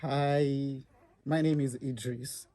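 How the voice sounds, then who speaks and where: A young man from Nigeria, speaking hesitantly with many filler pauses as a student guest on a campus radio chat show. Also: medium pitch, fairly even intonation, mellow.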